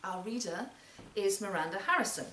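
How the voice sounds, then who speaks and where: A woman's voice: mid-range voice, lively intonation, normal speed, sharp tone. A woman hosting a live literary event, giving a prepared spoken introduction. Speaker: event host introducing readers. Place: performance space in a library centre. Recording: roomy, distant mic, background noise.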